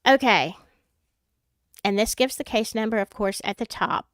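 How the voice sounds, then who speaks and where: A woman's voice: medium pitch, normal speed, no room sound, clean studio sound. A woman with a Southern US accent who hosts a true crime livestream. She sounds congested from a sinus infection and talks in a chatty, rambling way, full of fillers and asides. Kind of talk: casual livestream chat.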